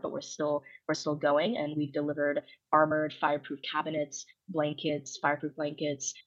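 A woman's voice: deep voice, a bit bright, husky. A young woman from Ukraine with an American accent, a podcast guest speaking earnestly and fluently. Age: young adult. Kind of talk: podcast interview. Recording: dull lo-fi audio.

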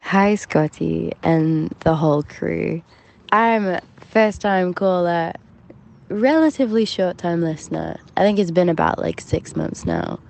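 A woman's voice: lowish voice, big pitch swings, measured pace, vocal fry, darkish tone. A young woman from Hampshire with a shifting, mixed English accent, leaving a chatty, giggly voicemail for a podcast. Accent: mixed English, shifting between southern and northern. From Hampshire, England. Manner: chatty, giggly, self-deprecating. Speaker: caller.

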